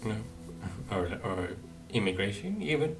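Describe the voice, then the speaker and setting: A man's voice: on the low side, big pitch swings, takes it slowly, poor audio. A man doing casual let's play commentary, musing tentatively and thinking aloud as a gaming streamer. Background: game music.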